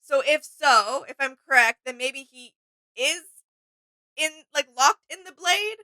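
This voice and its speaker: A woman with a high, very bright and sharp voice chats casually as a co-host. She sounds tentative and self-doubting, recorded close and dry. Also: animated, a little slow, no room sound. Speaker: reaction video co-host. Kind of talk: casual reaction chat.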